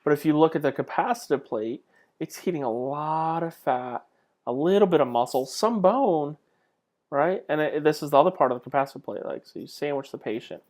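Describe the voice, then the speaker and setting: A man's voice: on the high side, animated, fairly quick, a little mellow. A man giving an informal slide lecture in a conversational way, with rhetorical questions and self-deprecating asides. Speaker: lecturer, clinical instructor.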